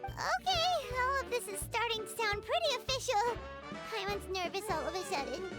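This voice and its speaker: A woman voicing a tiny, excitable, childlike fairy companion in a video game, with a dramatic, exclamatory delivery. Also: very high voice, moderate pace, over loud music. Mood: nervous. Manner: exclamatory, dramatic, refers to herself in third person.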